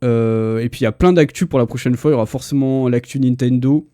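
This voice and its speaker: A man's voice: medium pitch, quick pace, mellow, no echo, studio quality. A man, hosting a casual, slangy pop culture livestream chat with enthusiasm. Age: 30s. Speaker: host. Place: indoor livestream.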